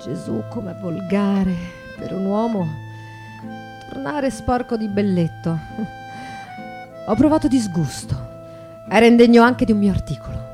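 Actress as dramatic, embittered bourgeois wife over music. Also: female voice, medium pitch, animated, measured pace, long pauses, lightly acted. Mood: quiet, weary disgust, then proud, scornful. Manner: dramatic, confessional, shifting between hushed and declamatory. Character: pregnant, embittered, elegant 19th-century bourgeois writer wife, proudly ironic. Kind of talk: theatrical monologue with live music. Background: guitar music.

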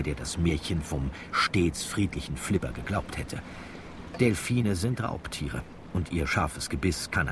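A man's voice: extremely low pitch, noisy background. A man narrating a radio drama from a script, as a wry storyteller given to chatty asides. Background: music.

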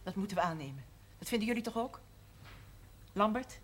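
A woman's voice: mid-range voice, lively intonation, a little fast, a bit bright, natural voice. An actress playing a composed, conciliatory mother in a television period drama. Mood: composed, solemn. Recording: faint noise on old television soundtrack.

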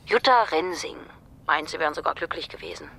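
Casting: sarcastic, no-nonsense policewoman, bright forceful voice, radio drama, faint noise behind. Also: sits low, lively intonation, fairly quick, vocal fry, heavily acted. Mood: matter-of-fact. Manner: curt, dry, sarcastic. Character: brusque, sardonic policewoman, sceptical and quick to bite. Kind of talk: naturalistic German radio drama acting.

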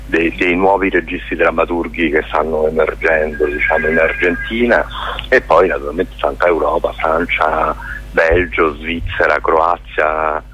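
A man speaking as a guest in a radio interview, talking in a halting way with many fillers and restarts. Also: a bass voice, steady tone, fairly bright tone, slight background noise. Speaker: festival director guest. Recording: dull lo-fi radio sound.

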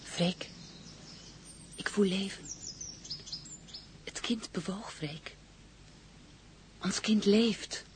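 A woman's voice: mid-range voice, extremely slow, pauses often. An actress playing an emotional young expectant mother in a radio drama, intense and overcome. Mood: awed, tender. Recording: faint background noise.

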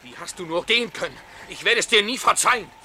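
Radio drama lover: young, possessive, bright forceful voice, some background hiss and ambience. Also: male voice, high-pitched, expressive, quick pace, full character voice. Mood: angry, agitated. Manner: commanding, intense. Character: impassioned, possessive young male lover Jan. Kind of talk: stage-trained radio drama acting. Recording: 1971 radio play, noisy ambience.

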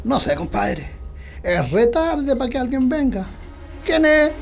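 Gruff Chilean peasant husband, suspicious, gravelly and swooping, radio play over faint music. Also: male voice, very high-pitched, big pitch swings, slow pace, full character voice. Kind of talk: radio drama acting. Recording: music behind the voice.

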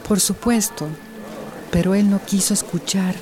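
An actress playing a troubled, defensive woman doctor in a radio drama, with a halting way of talking. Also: mid-range voice, fairly even intonation, a crowd in the background, close to an everyday voice. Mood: upset, regretful. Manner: halting, hesitant, repeats words.

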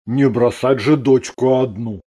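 A man voicing a gruff, wry but steady husband and father in a dramatised story. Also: medium pitch, a little slow. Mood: soothing.

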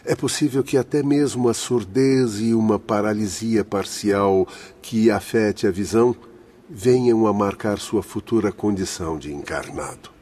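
A man's voice: on the low side, measured pace, dark tone, husky. A man with a Brazilian accent playing a learned, kindly spirit doctor in a radio drama, explaining things formally. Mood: earnest, explanatory. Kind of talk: Portuguese-language radio drama acting.

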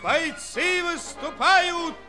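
An actor playing a boisterous fairy-tale tournament herald who declaims in verse in a theatrical, drawn-out way for a children's audio play. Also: male voice, extremely high pitch, sharp tone, heavily acted. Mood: grandly proclaiming. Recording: 1960s studio play with music behind.

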